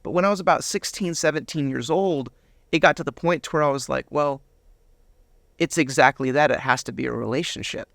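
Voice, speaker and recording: A man's voice: a little high, a little fast, creaky, fairly bright tone, no echo, studio quality. A man giving a personal testimony as an interview guest, retelling moments with quoted dialogue acted out.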